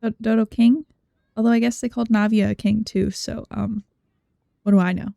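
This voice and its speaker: A woman's voice: on the high side, steady tone, moderate pace, gravelly, dry recording, clean studio sound. A young woman giving casual, rambling, playful game commentary as she thinks aloud. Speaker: game streamer. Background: soft game music.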